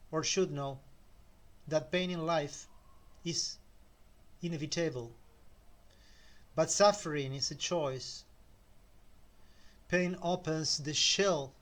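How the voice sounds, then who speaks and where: A middle-aged man with a Spanish accent, a native Spanish speaker, giving a slow, measured motivational talk with pauses. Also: fairly high pitch. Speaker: self-help speaker.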